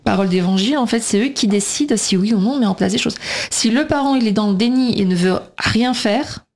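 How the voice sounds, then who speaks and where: A woman's voice: a little high, brisk, a little mellow. Talkative woman in a radio discussion. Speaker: radio guest, preschool teacher and mother.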